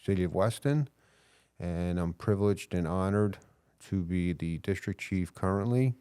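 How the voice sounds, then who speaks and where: A middle-aged man with a New York accent and a deep, smooth, resonant voice. He speaks as a police chief in a relaxed interview, earnest and measured and often pausing on fillers. The sound is close and dry, with no room around it. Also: dry recording. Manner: earnest, measured, frequent hesitations. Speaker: police chief, podcast guest. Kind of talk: casual interview.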